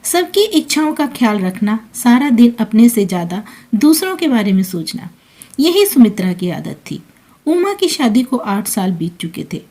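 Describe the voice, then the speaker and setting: A woman's voice: on the high side, very animated, normal speed, warm tone. A woman reading a scripted emotional family story as its narrator.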